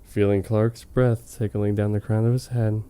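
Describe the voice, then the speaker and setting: A man with a soft-edged, relaxed tone reads a story aloud with mock drama. His voice is smooth and clear, and he slips into casual, amused commentary between hammy narrated lines. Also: on the low side, normal speed. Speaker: reader of fan fiction on a comedy web show. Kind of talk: mock-dramatic reading with casual joking asides.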